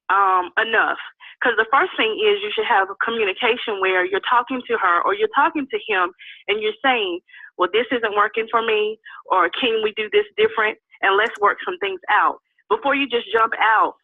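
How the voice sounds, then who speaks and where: A woman's voice: mid-range voice, no room sound. Stuffy-nosed woman on a tinny phone line. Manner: earnest, firm, open. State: stuffy from a cold. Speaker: caller. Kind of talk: phoned-in call on a podcast.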